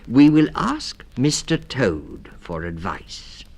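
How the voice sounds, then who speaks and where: Guy putting on a gentle mummy mouse, anxious, higher voice, old kids story record. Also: wildly swooping pitch, takes it slowly, vocal fry, breathy edge, heavily acted. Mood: gently insistent. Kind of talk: storybook character reading. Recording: 1960s vinyl story record.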